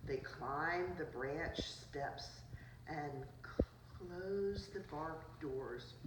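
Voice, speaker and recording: A woman's voice: sits low, expressive, very slow. A woman preschool teacher reading a picture book aloud to children, adding simple asides about the pictures. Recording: roomy, distant mic, noisy background.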